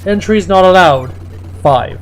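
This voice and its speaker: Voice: mid-range voice, lively intonation, unhurried, faint music behind. A person reading fan fiction and its reviews aloud in a mocking dramatic style, breaking off into exasperated asides.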